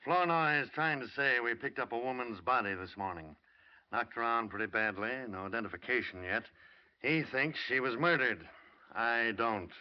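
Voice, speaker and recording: A man's voice: mid-range voice, normal speed, smooth, natural voice. A man playing a skeptical homicide lieutenant in a 1940s radio drama. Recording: muffled old radio broadcast.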